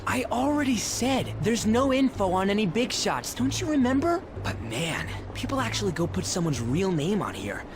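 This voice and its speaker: A hot-headed video game teen talks in slangy, blunt bursts. The pitch swings widely, and music plays clearly behind the voice. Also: male voice, sing-song, normal speed, hardly any pauses. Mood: impatient. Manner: blunt, grumbling, coarse. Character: brash, hot-headed, slangy teenage boy. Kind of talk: English video game dub voice acting.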